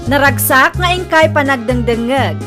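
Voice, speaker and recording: High-voiced Ilocano woman announcing over music. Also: moderate pace. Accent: Ilocano Filipino. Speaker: radio drama host and announcer. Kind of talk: slow, scripted drama announcing.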